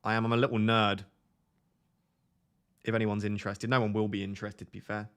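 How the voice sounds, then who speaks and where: A young man hosting a live stream, chatting casually with his viewers in a flat, monotone, self-deprecating way. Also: lowish voice, fairly quick, clean tone. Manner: self-deprecating, chatty, reads out chat messages. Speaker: livestream host.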